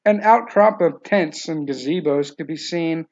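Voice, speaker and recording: Male novel narrator, slightly high pitch with gravelly fry, reading from a script, lo-fi sound. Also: a little slow, a little mellow.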